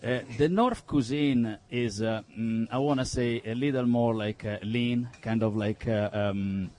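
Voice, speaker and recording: A man from Milan with an Italian accent, a native Italian speaker answering interview questions haltingly as he searches for words. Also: mid-range voice, darkish tone. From Milan, northern Italy. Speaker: restaurateur, interview guest.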